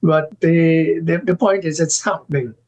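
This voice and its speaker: An older man with a Trinidadian accent, a guest analyst who speaks haltingly with many restarts and strong emphasis. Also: a little high, steady tone, darkish tone. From Trinidad and Tobago. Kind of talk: current affairs discussion.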